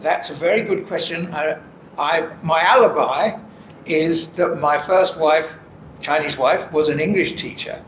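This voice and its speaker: A man in his seventies, a retired official, answering interview questions in a measured way that hedges and qualifies each point. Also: fairly high pitch, fairly bright tone, roomy sound, muffled recording, a little hiss or hum. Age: 70s. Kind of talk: studio interview conversation. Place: green-screen TV studio, seated.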